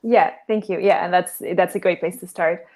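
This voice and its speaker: A woman's voice: lowish voice, steady tone, normal speed, darkish tone. Portuguese-born woman explaining her work. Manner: thoughtful, restarts phrases while explaining. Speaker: guest. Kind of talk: casual interview chat.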